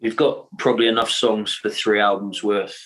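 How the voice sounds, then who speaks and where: A man's voice: lowish voice, level tone, normal speed, fairly bright tone, low-quality recording. A man, the band's singer, chatting casually in a podcast interview with dry, joking asides. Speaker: band singer.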